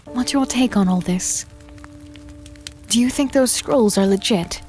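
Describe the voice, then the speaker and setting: A woman playing an anxious, devoted young companion in a dark fantasy drama, speaking earnestly and intimately, with a pleading manner. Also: fairly high pitch, measured pace, faint music behind, natural voice. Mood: worried, hushed.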